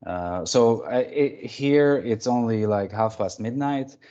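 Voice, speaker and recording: A man from Hungary with a Hungarian accent, giving an informal tech presentation in a halting way with repeated words. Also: medium pitch, warm tone. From Budapest, Hungary. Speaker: guest presenter of a lightning talk. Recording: dull, band-limited online call audio.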